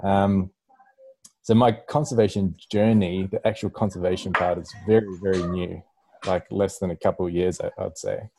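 A man's voice: low-pitched, steady tone, normal speed, darkish tone, a little hiss or hum, low-quality recording. A man with a New Zealand accent, talking casually about himself in a group conversation. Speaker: podcast participant telling own journey.

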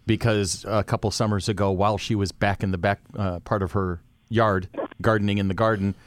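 Man, forties, podcast host, lowish voice, relaxed, joking. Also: fairly quick, a little hiss or hum. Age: 40s. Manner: relaxed, joking, steers the talk. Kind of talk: casual panel chat.

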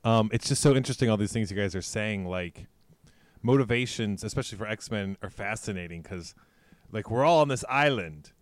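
A man's voice: lowish voice, expressive, a little fast, no room sound. A man in a casual comics chat, asking playful probing questions.

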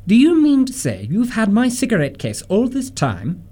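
A man acting in a radio play as an earnest, easily indignant young gentleman in a comedy of manners, speaking formally and emphatically. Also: very high voice, huge pitch swings, moderate pace, heavily acted. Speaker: amateur radio theatre actor.

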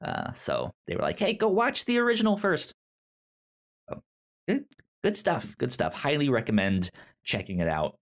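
A man's voice: fairly high pitch, huge pitch swings, moderate pace, long pauses. A man hosting a podcast in a casual, chatty style, often catching and repeating sounds as he starts words. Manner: frequent stammered sound repetitions. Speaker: podcast host.

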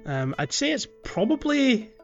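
A man's voice: very high-pitched, big pitch swings, unhurried, gravelly, muffled recording, soft background music. A man chatting casually and wryly as he hosts a video game podcast. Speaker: podcast host.

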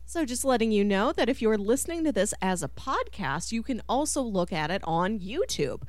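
A woman with a mid-pitched voice hosts a casual podcast film discussion. She is expressive and animated in her delivery. The sound is dry and close, with no room on it. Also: normal speed, no room sound. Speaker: podcast host.

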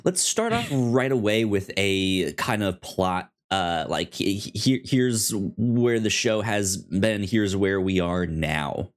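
Slow, stuttering man podcasting casually. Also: on the low side, expressive. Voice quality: stutters, repeating syllables and words. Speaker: podcast co-host. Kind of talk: casual chat and show recap.

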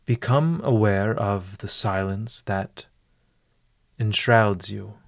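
A man narrating a scripted guided meditation as host, with formal, deliberate, ornate phrasing. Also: fairly low pitch, gravelly, no echo, studio quality.

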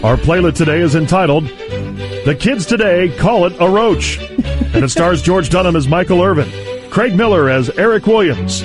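A man's voice: sits high, lively intonation, moderate pace, over music. A man reading scripted announcer narration for a comedy sketch.

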